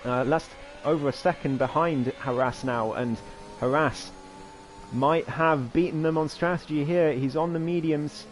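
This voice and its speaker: A hoarse man giving live commentary on an online racing league, his voice climbing excitedly through each overtake. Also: fairly high pitch, a little slow, slight background noise. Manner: rising excitedly during overtakes, chatty asides. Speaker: race commentator.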